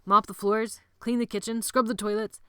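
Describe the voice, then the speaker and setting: A woman's voice: fairly high pitch, flat delivery, normal speed, pushed and bright, clean tone, no room sound, studio quality, voice pushed up. A woman voicing a tired, sarcastic teenage boy complaining about chores in an audiobook.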